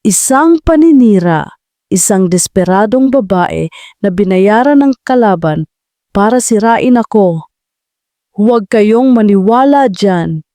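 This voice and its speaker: A woman's voice: mid-range voice, expressive, slow pace, dark tone, no echo. A woman playing a threatening, mocking male corporate villain in an audio drama. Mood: defensive, smug. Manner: sneering, menacing. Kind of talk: Tagalog audio drama acting.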